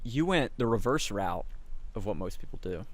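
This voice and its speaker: A man's voice: lowish voice, animated, moderate pace. A young man with a slight Southern US accent hosting a podcast, joking and teasing in a laid-back way. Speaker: podcast host. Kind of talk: casual podcast interview.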